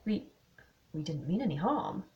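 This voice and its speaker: A woman's voice: fairly low pitch, big pitch swings, moderate pace, dull audio. A woman voicing an awkward, apologetic English schoolboy prankster with a hesitant manner, in a story reading. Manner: faltering, awkward.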